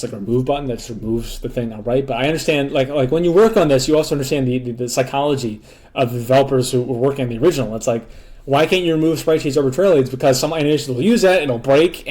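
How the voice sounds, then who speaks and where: A hoarse man casually walking through a demo of software he built, rambling loosely with lots of fillers, restarts and blunt joking asides. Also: medium pitch. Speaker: developer demoing his own software. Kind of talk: casual rambling screen walkthrough.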